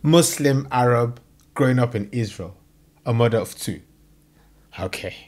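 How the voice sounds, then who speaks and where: A woman's voice: rumbling low, a little slow, a little mellow, soft background music. A woman hosting a reaction video, commenting emphatically and repeating phrases for effect.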